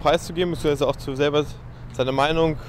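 A man's voice: fairly high pitch, steady tone, quick pace. A young man answering a street interview outdoors, thoughtful and searching for the right words. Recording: faint outdoor traffic noise.

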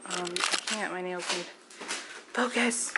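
A woman's voice: on the low side, slow, heavy background noise. A young woman vlogging at home, chatting casually and giving blunt, joking opinions while showing her groceries and pantry. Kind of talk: casual chat.

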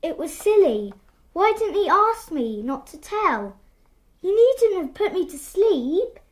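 A woman's voice: very high voice, very animated, takes it slowly, full character voice. A woman playing a small, stubborn, earnest English boy who gives plain, childlike answers in a radio drama.

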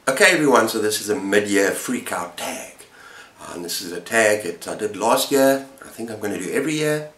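A man's voice: on the low side, lively intonation, fairly quick, a bit bright. A middle-aged man chatting casually as the host of a book-review vlog. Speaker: booktuber host. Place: indoor room lined with bookshelves. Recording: roomy, echoey indoor sound.

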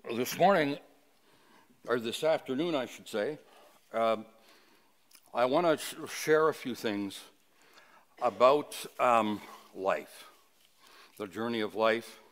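A man of sixty-nine from Canada delivering a funeral sermon at a lectern, reflective and halting, with personal reminiscence. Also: mid-range voice. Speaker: funeral officiant, preacher. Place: indoors at a funeral home, at a wooden lectern. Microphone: lectern microphone.

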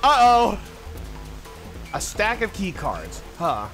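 A man with a fairly high, very animated voice gives casual commentary on a game. He reads on-screen text aloud and mutters to himself as he plays, over soft game music. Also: takes it slowly. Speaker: streamer playing a puzzle adventure game.

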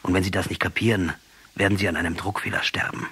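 An actor playing a defiant, ironic revolutionary commander in a radio drama, speaking emphatically and rhetorically. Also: male voice, low voice, level tone, fast, sharp tone, breathy edge, exaggerated voice. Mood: pointed, sardonic.

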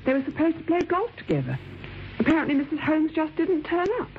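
High-voiced, deferential radio drama secretary. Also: female voice, sing-song, fast. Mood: apologetic, concerned. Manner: deferential, slightly hesitant. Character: polite, solicitous female office secretary, eager to help. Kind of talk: old radio drama acting. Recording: dull, hissy old radio recording.

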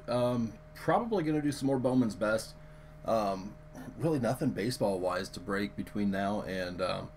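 A man with a hoarse, worn voice hosting a live card-opening stream, chatting loosely with viewers and using many fillers. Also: on the low side, a little hiss or hum. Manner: relaxed, filler-heavy, talks to chat viewers. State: hoarse, lost his voice. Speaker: card break host.

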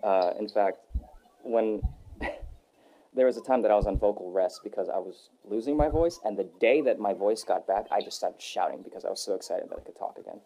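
A young adult man answering interview questions in a relaxed, rambling way, with many fillers and restarts. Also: lowish voice, fairly quick. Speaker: voice actor guest. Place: Texas.

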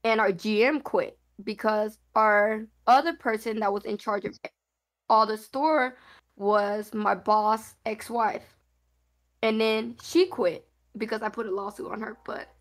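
A woman's voice: on the high side, steady tone, measured pace. A woman who is losing her voice to illness, chatting bluntly and telling stories while playing an online game. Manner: blunt, storytelling, swears freely. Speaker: game player. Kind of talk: casual chat.

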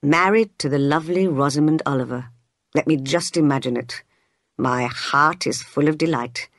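Devout, self-denying storybook vicar, voiced by a narrator: stern, slow, restrained. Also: medium pitch, a bit bright, dry recording, studio quality, natural voice. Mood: yearning.

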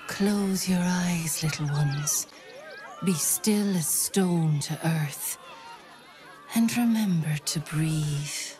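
A very slow, solemn mystical nature priestess. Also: female voice, low voice, darkish tone, faint music behind, a faint crowd. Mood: tender, hushed. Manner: ceremonial, poetic, deliberate, often breathy. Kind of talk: video game character acting.

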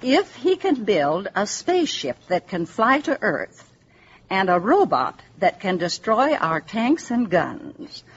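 Fussy boarding-house landlady, chatty, excitable, swinging pitch, old radio drama, dull recording. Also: slow pace, slightly rough, heavily acted. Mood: alarmed reading. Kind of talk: 1950s radio drama acting.

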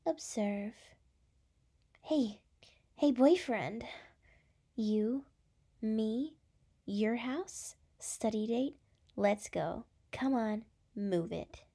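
Woman acting a slow, breathy, brash young gal. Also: a little high, dark tone, exaggerated voice. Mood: flustered, defensive, then bossy. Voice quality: breathy, often dropping into whispers. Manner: halting, teasing, exasperated. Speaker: voice actress. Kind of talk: ASMR roleplay.

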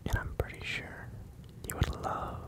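A performer voicing a male werewolf captor in a breathy, slow, teasing whisper, close to the mic in an ASMR roleplay. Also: lightly acted. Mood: teasing, menacing. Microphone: close microphone, near the ear.

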